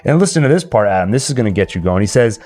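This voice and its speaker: A man's voice: on the low side, expressive, racing, a little mellow. A man hosting a livestream, chatting casually and reading out comments. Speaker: livestream host. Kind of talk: casual chat.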